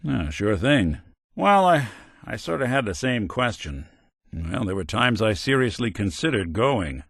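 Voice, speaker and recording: A man's voice: on the low side, sing-song, measured pace, creaky, fairly bright tone, no room sound, clean studio sound, heavily acted. An actor voicing a world-weary male synth detective in a video game, a robot carrying an old cop's memories, talking in a hard-boiled drawl. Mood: reflective. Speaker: companion character.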